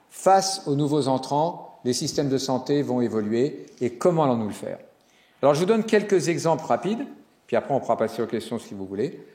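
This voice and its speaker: A man giving a conference keynote as a hospital executive, animated and joking, with some fillers and restarts. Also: mid-range voice. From Italian descent. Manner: animated speaker with jokes and fillers. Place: conference hall. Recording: roomy hall sound.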